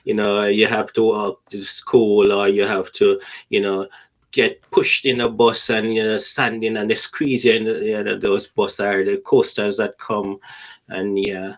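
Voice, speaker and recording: Lowish-voiced Jamaican man telling stories. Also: level tone, a little slow. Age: middle-aged. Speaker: podcast guest. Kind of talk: casual anecdotal chat.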